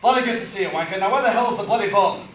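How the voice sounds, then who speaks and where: A man voicing a boisterous drunk Scotsman, Scottish accent, very high voice, sharp tone, slurred crude bellowing, distant echoey stage recording. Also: very fast, a little hiss or hum. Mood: rowdy. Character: rowdy drunk male Guy Fawkes, boisterous brawler. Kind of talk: amateur stage play recording. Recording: echoey room from far off.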